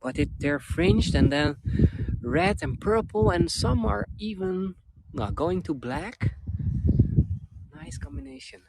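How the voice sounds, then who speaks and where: A man's voice: sits high, very animated, slow pace, vocal fry. A man with a Dutch accent giving an enthusiastic, chatty live tour of an outdoor flower garden, answering viewer comments as he films with a handheld gimbal. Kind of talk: live-streamed casual tour chat. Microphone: camera on a handheld gimbal. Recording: loud background noise outdoors.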